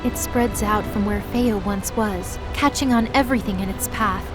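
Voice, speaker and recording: A woman giving expressive, scripted dramatic narration as the storyteller of a fantasy audio drama. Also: mid-range voice, normal speed, over music.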